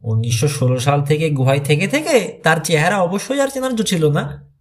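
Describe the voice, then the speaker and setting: Expressive higher-pitched male Bengali storyteller. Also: brisk. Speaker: audiobook narrator. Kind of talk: dramatised storybook narration of a mystery thriller.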